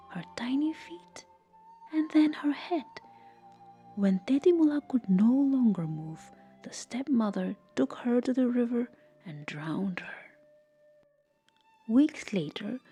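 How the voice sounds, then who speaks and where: Breathy, higher-pitched woman telling a folktale. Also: lively intonation, measured pace, dark tone, faint music behind. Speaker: storyteller. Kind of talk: scripted folktale narration.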